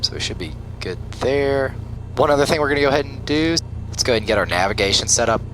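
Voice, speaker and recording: A man's voice: mid-range voice, expressive, fast, fairly bright tone, a little hiss or hum, low-quality recording. A man giving step-by-step flight simulator commentary and radio calls, talking himself through checklists in a procedural way. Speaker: flight simulator pilot and host. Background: simulated aircraft engine and cockpit sound.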